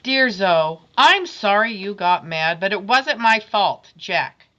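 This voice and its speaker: A woman reading aloud the apology notes of a grudging young schoolboy, voicing them a little louder than her narration. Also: medium pitch, expressive, slow, dull audio.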